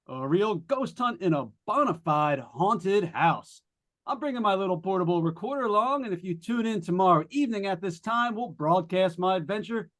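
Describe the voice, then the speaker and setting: A man playing an excitable, jovial disc jockey in an old-time radio drama, with chatty, showy, folksy patter. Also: high voice. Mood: teasing, excited. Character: excitable, jovial, wisecracking male late-night jazz disc jockey.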